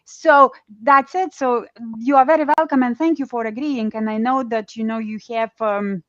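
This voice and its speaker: A woman's voice: on the high side, normal speed, fairly bright tone, dry recording, muffled recording. Host, woman, Eastern European accent, loose conversational delivery, dry close sound. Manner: chatty, many restarts and fillers. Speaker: podcast host. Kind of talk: casual conversation.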